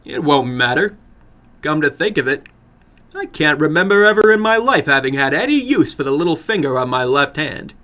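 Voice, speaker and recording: A man's voice: a little high, sing-song, moderate pace, pushed and bright, voice pushed up. A man voicing a cocky, stubborn young man in a horror story, casual and insistent. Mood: cocky, nonchalant. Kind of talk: dramatic horror story reading.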